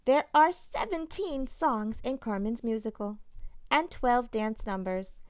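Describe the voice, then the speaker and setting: A woman's voice: on the high side, measured pace, no echo, studio quality. A woman, a children's author, reading a picture book aloud expressively, with chatty asides straight to young listeners. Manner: animated, addresses young listeners directly.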